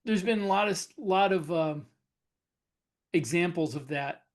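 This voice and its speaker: A man's voice: sits high, a little slow. A man co-hosting a podcast, talking hesitantly and searching for his words. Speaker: podcast co-host. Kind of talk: casual chat.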